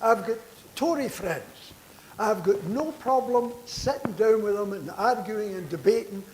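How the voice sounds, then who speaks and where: A man's voice: high-pitched, expressive, a little slow, a little mellow. An older man who is a veteran politician, talking earnestly on a conference panel and restarting words as he presses his point. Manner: earnest, persuasive, repeats words when stressing a point. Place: conference hall. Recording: echoey hall with background noise.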